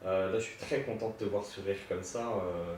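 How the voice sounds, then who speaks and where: Very echoey recording, man away from the mic, low mellow voice, friendly clinician interviewer. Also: fairly even intonation, normal speed. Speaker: dental clinician interviewing his patient. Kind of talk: unscripted conversational interview. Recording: very echoey, distant mic, faint noise.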